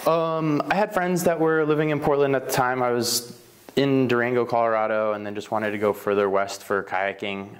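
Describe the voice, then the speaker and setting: A man's voice: medium pitch, fairly even intonation, moderate pace, slight background noise. A man from Slovenia who owns a bakery, telling his life story in a casual interview, rambling with fillers and self-corrections. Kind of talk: casual oral history interview.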